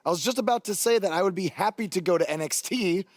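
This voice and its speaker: A man's voice: high-pitched, quick pace, no echo, studio quality. A man playing a young, hapless but easygoing indie wrestler in a video game cutscene, speaking in a casual, wry, hesitant way. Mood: defensive.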